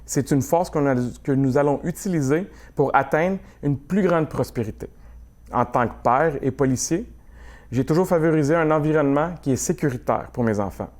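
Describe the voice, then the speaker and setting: A man in his forties delivering a scripted campaign message, formal and measured, as if reading a prepared text. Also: fairly high pitch, darkish tone. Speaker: mayoral candidate.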